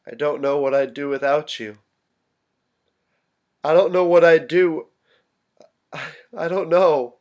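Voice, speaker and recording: A man in a staged drama reading, playing a troubled young son who speaks haltingly and slips into his missing family's voices. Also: a little high, a little mellow. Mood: upset. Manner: halting, repetitive, switching tones of voice. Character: troubled young son losing his grip, pleading, echoing his vanished family.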